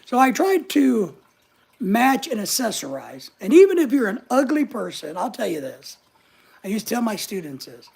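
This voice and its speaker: A middle-aged man with a voice high enough that strangers take it for a woman's. He chats loosely with viewers, joking at his own expense. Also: wildly swooping pitch, moderate pace, vocal fry, darkish tone, husky. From United States. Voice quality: high voice often mistaken for a woman's. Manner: chatty, joking, self-mocking. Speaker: channel host reading live chat. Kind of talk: casual livestream chat.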